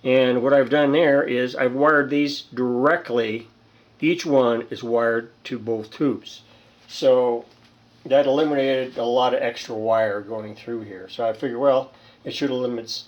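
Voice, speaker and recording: A man with a middle-pitched voice talks casually through a hands-on repair. He rambles and halts, thinking aloud as he shows his work. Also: fairly even intonation, measured pace, muffled recording. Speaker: hobbyist radio repairer, presenter. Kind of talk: casual hands-on demonstration talk.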